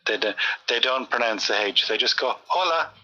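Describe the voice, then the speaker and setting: Irish man, forties, sharp tense voice, patient explainer, casual chat. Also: mid-range voice, animated, dull audio. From Ireland. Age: 40s. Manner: patient, slows down to explain grammar. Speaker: conversation partner.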